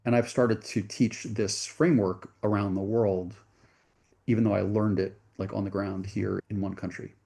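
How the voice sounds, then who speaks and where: A man's voice: lowish voice, level tone, moderate pace, gravelly, mellow. A middle-aged man from the United States, a venture capitalist guest in an interview, telling his story earnestly and correcting himself as he goes.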